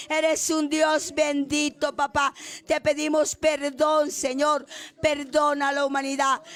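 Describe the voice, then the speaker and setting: A woman leading a fervent spoken prayer, pleading and repeating her invocations between every phrase. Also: high-pitched. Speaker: prayer leader.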